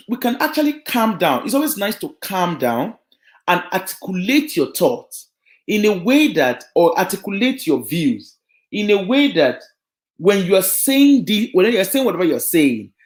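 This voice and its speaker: A woman from Africa on a live broadcast, advising parents about social work. She talks earnestly, repeats key points and keeps asking "right?". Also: lowish voice. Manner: animated, keeps checking in with "right?". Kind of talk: advice talk to parents.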